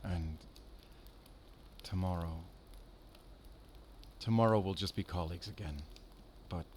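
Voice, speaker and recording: A man acting a low-voiced, brooding monster hunter. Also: a little hiss or hum. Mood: wistful, tender. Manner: soft, halting, intimate, earnest delivery. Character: brooding adult male werewolf hunter, tender, guarded. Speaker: voice actor in audio roleplay. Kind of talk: intimate cinematic audio roleplay acting.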